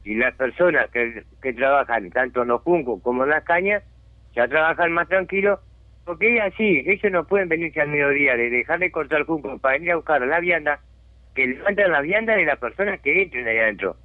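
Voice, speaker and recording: A man's voice: a little high, fairly quick, no room sound. Uruguayan man, community spokesman, insistent and indignant, phone-quality sound. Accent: Uruguayan Spanish. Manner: insistent, indignant, checks understanding often. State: has a chronic lung disease. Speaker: settlement resident and community spokesman. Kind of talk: phone interview on radio. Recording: dry tinny phone line.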